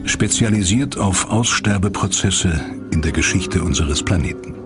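Male documentary narrator, low voice, scripted read over a music bed. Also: normal speed. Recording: music behind the voice.

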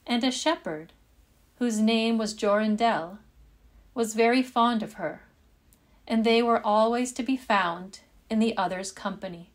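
Slow-paced woman telling a fairytale. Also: a little high. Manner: measured, deliberate storybook phrasing. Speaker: storyteller. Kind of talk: scripted fairytale narration.

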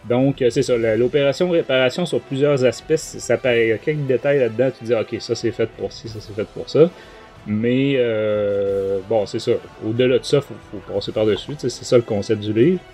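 A Québécois man with a mellow, soft-edged voice talks casually as a podcast host reviewing books. He rambles in colloquial speech, often hesitating, at an average pitch, with faint music behind him. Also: a little fast. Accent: Québécois French. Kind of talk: casual book review chat.

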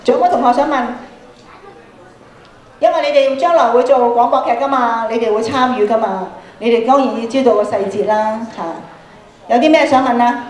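High-voiced Hong Kong woman teaching in an echoey hall. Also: fairly quick. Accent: Hong Kong Cantonese. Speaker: workshop speaker, radio programme producer. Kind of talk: workshop teaching to an audience. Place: school auditorium stage. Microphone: handheld microphone. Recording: echoey hall through PA microphone.

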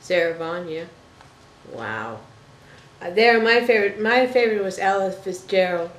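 A woman's voice: on the low side, animated, moderate pace. An older woman from Toronto hosting a casual interview, folksy and fond of pet names. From Toronto, Canada. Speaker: talk show host, interviewer. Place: small living room, indoors. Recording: roomy, echoing living-room sound.

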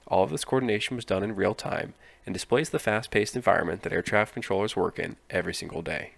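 A man giving scripted explainer narration in a clear, instructive manner. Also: deep voice, fast, no echo. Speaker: narrator explaining air traffic control.

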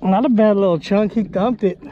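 A man with a somewhat high voice talks offhandedly on a small boat out on the water, filmed with an action camera. Faint water and boat noise sit behind him. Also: normal speed, highs cut off. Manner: relaxed, offhand bait rundown with fillers. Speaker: fishing vlogger. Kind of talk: casual fishing vlog. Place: small boat outdoors on a river delta.

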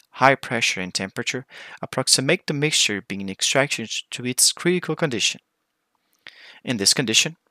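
A man's voice: medium pitch, pushed and bright, no room sound. A man with a Brazilian accent, a native Portuguese speaker, giving a formal, methodical scripted technical presentation as a researcher.

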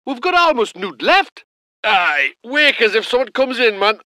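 A man voicing a gruff, salty self-propelled gun crewman, delivering game unit lines. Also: extremely high pitch, sing-song, unhurried, bright, forceful tone, dry recording, full character voice. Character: gruff northern British male gun crewman. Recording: dry close studio recording.

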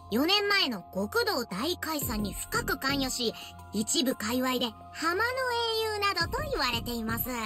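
A woman's voice: extremely high pitch, full character voice. A woman voicing a cutesy, perky young virtual streamer who narrates scandal exposés in a video game.

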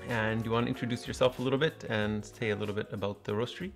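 A man in his thirties hosting a casual interview, putting relaxed questions to his guest. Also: on the low side, quick pace, soft background music. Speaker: host interviewer. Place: indoor coffee bar set.

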